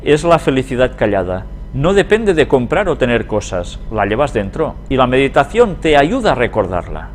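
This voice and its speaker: A man's voice: mid-range voice, sing-song, moderate pace, hardly any pauses, slight background noise. A man with an accent from Spain giving a religious lecture as a teacher, reading aloud and commenting conversationally, often putting questions to his listeners. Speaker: Bible prophecy teacher.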